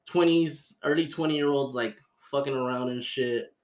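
A relaxed man from Miami hosts a casual podcast chat, his voice sitting at an ordinary middle pitch. He talks in a loose, slangy way, stringing thoughts together with plenty of "like" and easy asides. The room around him adds a noticeable roomy echo. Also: normal speed. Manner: laid-back, slangy, lots of fillers. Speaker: podcast host.

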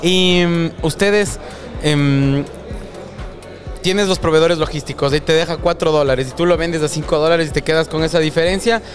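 A man's voice: fairly high pitch, normal speed. Friendly male interviewer over faint music. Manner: friendly short reactions, informal. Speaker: interviewer, host. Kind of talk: casual interview questions.

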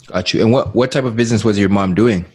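A man's voice: fairly low pitch, quick pace, a little mellow, breathy edge. A man hosting a casual interview, asking questions hesitantly and often repeating his words. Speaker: interview host.

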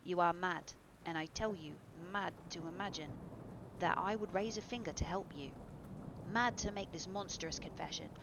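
A woman doing a stern gentleman who speaks hard and cold, full of contempt. His voice is pitched low and grows loud and forceful, with a hum of noise underneath. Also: normal speed, close to an everyday voice. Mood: angry refusal.

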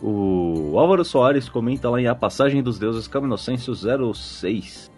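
A man's voice: mid-range voice, animated, moderate pace, darkish tone. A man with a Brazilian accent hosting a podcast, chatting casually in a jokey, slangy way and reading listener comments. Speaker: podcast host. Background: background music.

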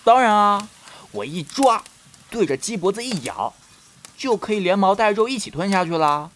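Man acting shy, lively young male fox spirit. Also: sits high, moderate pace, soft background music. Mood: playful. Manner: soft, hesitant, stammering when flustered. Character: shy, naive young male fox spirit in human form, easily flustered. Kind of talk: Chinese period romance audio drama acting.

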